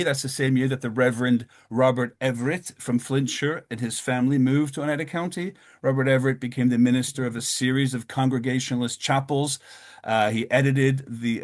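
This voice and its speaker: A man giving a history talk over slides, with many fillers and restarts, his pitch lifting at each new point. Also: a little mellow. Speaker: lecturer.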